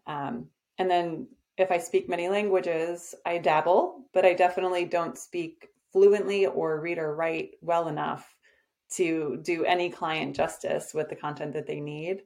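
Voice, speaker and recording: A woman's voice: on the low side, steady tone, unhurried, vocal fry, a little mellow. Confident, direct woman in casual conversation. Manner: direct, confident, with frequent "um" fillers. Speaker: podcast guest, agency owner.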